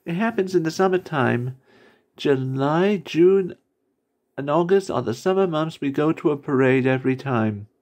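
A man reading amateur character voice lines indoors in a halting way, stumbling over words. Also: fairly high pitch, expressive, a little mellow. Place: indoors at a computer desk.

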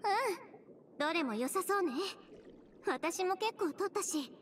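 A woman playing a confident, blunt and determined young fashion designer in an anime video game, speaking in a brisk, decisive manner. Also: very high voice, animated, slight background noise. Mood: composed. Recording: game music behind the voice.

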